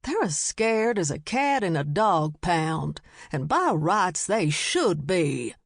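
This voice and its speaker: Bossy lady, done by a woman narrator, real slow and condescending, lowish voice, audiobook. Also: big pitch swings, creaky, no room sound, clean studio sound, heavily acted. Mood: bossy, insistent. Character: bossy, condescending small-town Southern woman.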